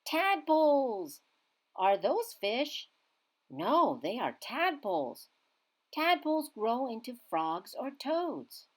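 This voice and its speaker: Slow, expressive woman reading to children. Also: sits high. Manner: teacherly, simple expressive phrasing with emphasized key words. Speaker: children's read-aloud reader. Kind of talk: scripted read-aloud of a children's science book.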